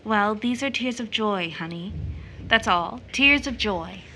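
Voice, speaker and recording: A woman's voice: medium pitch, animated, a little slow, sharp tone, a little hiss or hum, low-quality recording. A woman playing a tender, wistful mother figure, soothing and affectionate in an audio stage drama.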